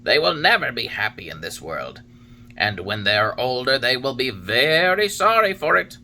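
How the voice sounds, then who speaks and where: A man's voice: mid-range voice, very animated, creaky, pushed and bright, slight background noise, pitched above the performer's own voice, exaggerated voice. A man voicing a preachy old cricket. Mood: lecturing. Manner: solemn, lecturing, drawn-out warnings. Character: wise old male talking cricket, preachy.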